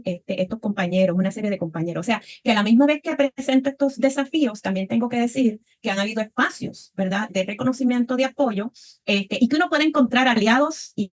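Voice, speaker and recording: Puerto Rican woman panelist, thoughtful and steady, voice on the high side. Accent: Puerto Rican Spanish. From Puerto Rico. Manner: reflective, frequent tag questions. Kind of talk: panel discussion answer.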